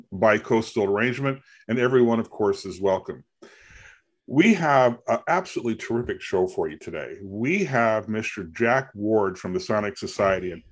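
A middle-aged man hosting, giving welcoming remarks over a video call. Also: lowish voice. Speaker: society president, show host.

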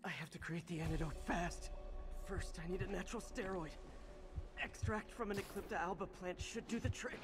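A wry young superhero. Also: male voice, high voice, fairly even intonation. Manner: quick, wry, talking to himself. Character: young male wisecracking superhero, determined. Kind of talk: video game voice acting. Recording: game audio with music underneath.

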